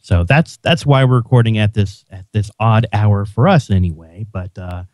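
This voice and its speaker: A man's voice: fairly low pitch, normal speed, darkish tone, no room sound, studio quality. A man hosting a podcast, chatting casually and restarting his sentences often. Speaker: podcast host. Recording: dull lo-fi sound.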